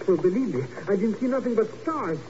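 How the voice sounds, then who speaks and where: An actor playing an excitable, uneducated comic sidekick in a 1950s radio drama, emphatic and colloquial. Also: male voice, sits high, fairly quick. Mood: indignant, flustered. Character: excitable, uneducated little guy, comic sidekick left on guard. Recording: noisy, muffled old radio recording.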